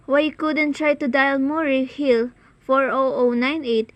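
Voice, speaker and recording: A woman playing an anxious, sickly, demanding wife in an amateur radio play, fretful, halting and insistent. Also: high-pitched, level tone, dull audio. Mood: indignant, insistent.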